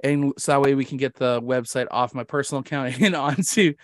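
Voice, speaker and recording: A man's voice: mid-range voice, brisk, no room sound. A man with a Canadian accent hosting a podcast in casual chat, rambling, jokey and self-deprecating. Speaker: podcast host.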